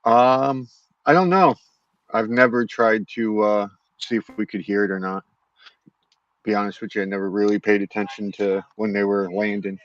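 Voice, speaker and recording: A man's voice: lowish voice, steady tone, normal speed, no echo. A man from Florida chatting on a voice call in a laid-back, hesitant way. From Florida, USA. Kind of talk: casual voice chat. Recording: dull, dry voice-call audio.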